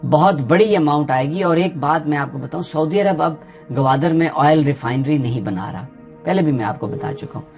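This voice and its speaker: Pakistani man giving steady political commentary. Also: fairly high pitch, moderate pace, gravelly, soft background music. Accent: Pakistani Urdu. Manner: steady explanatory delivery with frequent hesitations. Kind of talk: unscripted news commentary.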